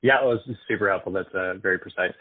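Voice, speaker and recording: A man speaking as a financial analyst, putting questions on an earnings call with tentative, halting phrasing. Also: low-pitched. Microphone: conference call line. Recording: thin phone-like conference call line.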